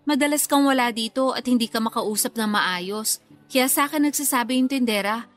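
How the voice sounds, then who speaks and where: Actress as blunt, high-voiced younger sister. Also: female voice, a little fast, soft background music, natural voice. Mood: matter-of-fact, reproachful. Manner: blunt, confrontational. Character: blunt, reproachful younger sister, perceptive and protective. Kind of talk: Tagalog radio drama acting.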